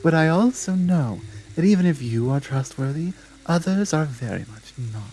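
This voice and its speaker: A woman playing a stern, dominant female werewolf pack leader in an ASMR roleplay, speaking in a measured, commanding manner with deliberate pauses. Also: deep voice, expressive, warm tone, husky, low-quality recording. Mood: stern, guarded.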